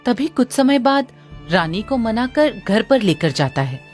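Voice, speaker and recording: Lively woman narrating a cartoon story. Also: a little high, unhurried, faint music behind.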